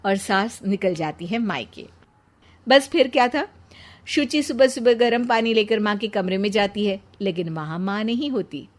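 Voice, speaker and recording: A woman's voice: mid-range voice, expressive, moderate pace. A woman reading a scripted cartoon story as its narrator.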